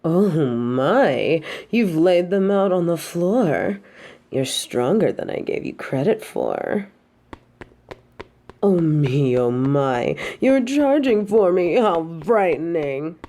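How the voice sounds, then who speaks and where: A woman's voice: on the low side, sing-song, warm tone, slight background noise, heavily acted. A woman playing a possessive, imperious evil queen in an audio roleplay, taunting and haughty. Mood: mocking, amused. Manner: slow, taunting, imperious, with breathy drops to a whisper. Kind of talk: scripted audio roleplay drama.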